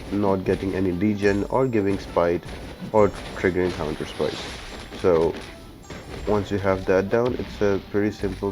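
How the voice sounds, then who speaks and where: A man with a low-pitched voice and a dark, relaxed tone gives a video game guide. He explains things step by step in an instructional way, with faint music playing behind him. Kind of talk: scripted-sounding game walkthrough commentary.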